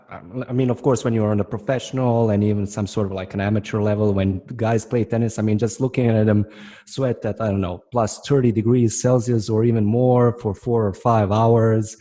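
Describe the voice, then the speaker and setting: A man's voice: on the low side, moderate pace, warm tone. A man hosting a podcast, asking long, winding questions full of fillers. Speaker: podcast host, interviewer. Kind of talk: interview questions.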